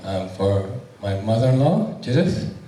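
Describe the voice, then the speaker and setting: A man with a husky voice giving an unprepared wedding thank-you speech as the groom, hesitant with many ums. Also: fairly low pitch, sing-song, unhurried, noisy background. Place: wedding reception hall with guests. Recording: echoey hall, distant mic, loud crowd.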